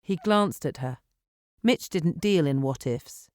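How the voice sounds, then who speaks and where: A woman audiobook narrator reading dramatic fiction in an expressive, measured storytelling manner in a studio. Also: low voice, dry recording. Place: dry recording studio.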